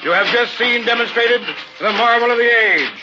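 A man's voice: very high-pitched, moderate pace, very noisy. An actor playing a pompous, evasive carnival showman and hypnotist in a radio horror drama. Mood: grand, showy. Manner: grandiose barker patter, curt when challenged. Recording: old muffled radio recording with music.

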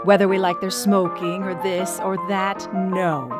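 A woman's voice: medium pitch, flat delivery, unhurried, over loud music, lightly acted. A woman acts out an independent, middle-aged firebrand of the 1920s. She delivers her letter as a dramatic reading, emphatic and indignant, arguing each point in a mid-range voice. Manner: emphatic, argumentative.

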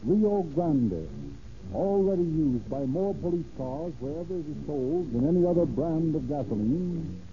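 A man's voice: on the high side, lively intonation, a little slow. A man working as a 1930s radio announcer, delivering sponsor messages and introductions in a formal, declamatory way. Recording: muffled, noisy 1930s radio recording.

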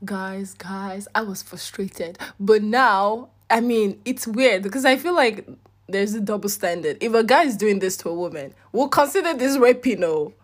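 A woman with a Nigerian accent co-hosting a casual podcast, animated and laughing often as she tells stories. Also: medium pitch, moderate pace. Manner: animated, laughs often, calls listeners y'all and guys. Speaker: podcast co-host. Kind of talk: casual chat.

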